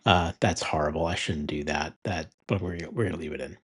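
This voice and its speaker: A man hosting his fountain pen channel, talking through a pen review in a relaxed way with many ums and uhs. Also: extremely low pitch, brisk, slight background noise. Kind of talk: casual product review.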